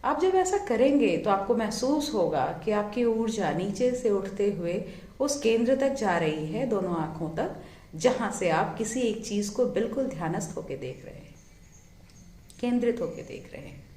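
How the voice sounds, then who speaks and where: Slow-paced woman guiding a meditation. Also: mid-range voice, expressive, mellow, roomy sound. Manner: measured, soothing, with drawn-out pauses between phrases. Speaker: meditation guide. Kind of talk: guided meditation, slow spoken instruction.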